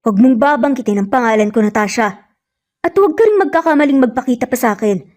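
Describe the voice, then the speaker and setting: Woman voicing an intense, lovelorn young man, mellow. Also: fairly high pitch, animated, fast talker, natural voice. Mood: bitter anger. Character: anguished, angry young man fiercely devoted to his beloved. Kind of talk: dramatic romance storytelling.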